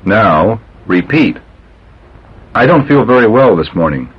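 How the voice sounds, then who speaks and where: A man with a low, slightly husky voice reads English drill sentences with careful, deliberate enunciation. His pitch moves a lot within each line. The sound is the muffled tone of an old language tape. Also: very animated.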